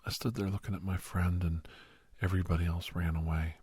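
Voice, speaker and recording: Hushed, very husky deep-voiced doctor, acted by a man. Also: level tone, dry recording. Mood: grave, haunted. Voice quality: breathy, husky, low. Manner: halting, hushed, dramatic pauses. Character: middle-aged male doctor, earnest, sentimental, reminiscing to a youngster. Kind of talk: ASMR roleplay storytelling, soft-spoken and half-whispered. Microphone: close, dry microphone.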